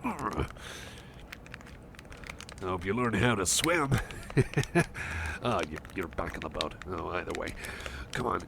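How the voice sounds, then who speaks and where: A man's voice: sits low, animated, slow, gravelly, pauses often, noisy background, full character voice. A man voicing a shy, eager cyborg friend in an ASMR roleplay, hesitant and stammering, repeating himself and seeking reassurance. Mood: playful, excited. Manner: hesitant, stammering, repeats phrases, checks on listener. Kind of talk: ASMR roleplay, personal attention.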